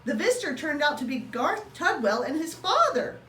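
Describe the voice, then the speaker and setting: Animated, high-voiced woman reading a storybook. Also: moderate pace. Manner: expressive, acts out dialogue. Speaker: teacher reading a children's book aloud. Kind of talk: animated children's storybook narration. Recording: roomy, distant mic, faint background noise.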